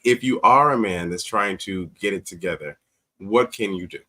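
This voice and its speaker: A man's voice: low-pitched, normal speed, bright, forceful tone, low-quality recording. A man talking as a show guest, giving earnest, conversational advice with emphatic repeated phrases.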